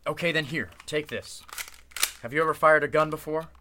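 A man's voice: fairly high pitch, brisk, bright, forceful tone, a little hiss or hum. An actor playing a tense, protective man in the style of an old-time radio drama. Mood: resolute. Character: Clint, tense protective man searching in fog.

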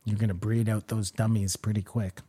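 Mellow-voiced man rambling in casual chat. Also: fairly low pitch, level tone, fairly quick, slightly rough. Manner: rambling, speculative, swears freely. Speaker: podcast co-host.

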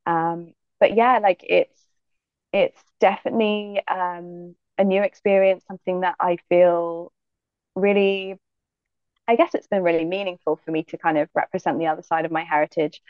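A young woman athlete from Jamaica, answering interview questions in a relaxed, thoughtful way with many fillers. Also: fairly low pitch, moderate pace, no echo, dull audio. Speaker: guest athlete.

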